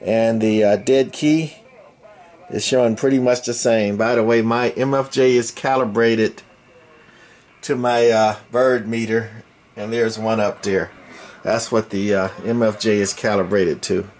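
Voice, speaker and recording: A man giving a casual, unscripted hands-on demonstration of hobby radio gear, explaining it in a halting, matter-of-fact way. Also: fairly low pitch, steady tone, a little mellow. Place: indoors at a home radio bench. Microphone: handheld camera mic, speaker behind camera.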